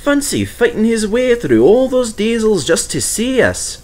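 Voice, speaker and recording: A man voicing a gruff but warm-hearted male engine with a Scottish accent, blunt and emphatic, in a storybook. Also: high-pitched, very animated, measured pace, pitched above the performer's own voice. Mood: blunt, sceptical.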